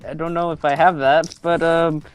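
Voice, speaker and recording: A man's voice: fairly high pitch, flat delivery, normal speed, clean tone. A man playing a tabletop game, chatting casually in a hesitant way, often repeating his words. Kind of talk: casual chat during a game.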